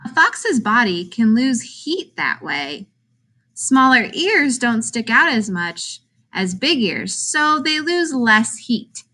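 Animated, bright-voiced woman reading to children. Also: on the high side, slow. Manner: animated, emphatic questions and answers. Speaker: teacher reading a children's picture book aloud. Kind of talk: expressive children's read-aloud narration.

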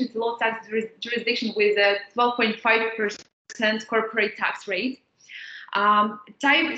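A woman's voice: on the high side, fairly bright tone, lo-fi sound. Woman presenting over an echoey video call. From Ukraine. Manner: halting, many fillers and restarts, non-native phrasing. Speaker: business development manager presenting. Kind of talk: webinar presentation.

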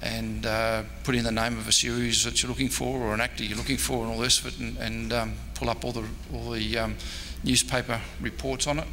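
A man's voice: medium pitch, level tone, fairly quick, hardly any pauses, sharp tone. Rambling older Australian man in an echoey hall. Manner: rambling, self-deprecating, hesitant. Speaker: historian giving a convention talk. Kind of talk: informal off-the-cuff lecture and audience questions. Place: indoor convention meeting room. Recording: echoey hall, background noise.

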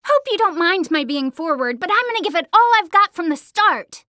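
A brash young martial-arts girl in an anime fighting game. The voice is very high and girlish, swinging widely in pitch with a forceful, bright edge. The delivery is cocky, spirited and full of exclamations. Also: very animated, fairly quick, no echo, clean studio sound, full character voice. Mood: cocky, playful. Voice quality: girlish, youthful. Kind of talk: English-dubbed anime video game battle lines.

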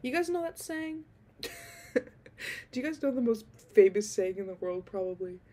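A woman's voice: fairly high pitch, lively intonation, moderate pace, warm tone, a little hiss or hum. A young woman chatting casually into a handheld mic in a dim bedroom, a little nasal from being sick, rambling with lots of ums and likes. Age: young adult. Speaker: podcast host. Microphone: handheld desk mic close to mouth.

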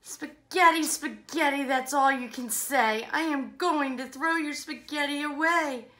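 A woman's voice: high-pitched, lively intonation, slow, bright, forceful tone, voice pushed up, heavily acted. A woman voicing a playful, pleading, bossy young boy monkey in a children's storybook, coaxing with emphatic rhythm. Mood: scolding. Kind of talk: children's storybook read-aloud.

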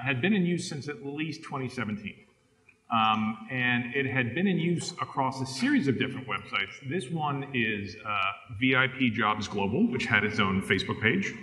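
Bright-voiced man presenting at a conference. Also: medium pitch. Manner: casual, many fillers, asks for next slide. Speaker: conference speaker. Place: large conference hall on stage.

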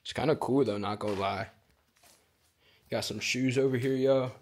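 A young man casually vlogging while he explores an abandoned school, talking straight to his viewers in relaxed slang. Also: mid-range voice, moderate pace. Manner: laid-back slangy, keeps addressing viewers. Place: abandoned school, indoors and on roof. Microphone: handheld camera at arm's length.

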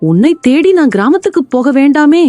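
A woman's voice: sits high, very animated, normal speed, warm tone, no room sound, soft background music, voice pushed up. A woman voicing a big, hungry tiger in a children's story, with a commanding manner. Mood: scornful, threatening. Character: big hungry threatening tiger who softens to mercy.

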